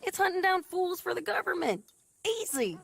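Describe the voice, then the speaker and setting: An actress playing a hardened frontier bounty hunter who speaks in a blunt, matter-of-fact, plain-spoken way in a video game cutscene. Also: female voice, extremely high pitch, sing-song, normal speed, gravelly, a bit bright, full character voice.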